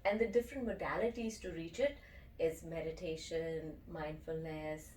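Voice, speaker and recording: Low-pitched woman from India, eager teacher guest, heard from a distance in an echoey room. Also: fairly even intonation, moderate pace, darkish tone, a little hiss or hum. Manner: enthusiastic, explanatory, often checks in with "okay?". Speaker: breathwork and yoga teacher. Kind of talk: interview guest.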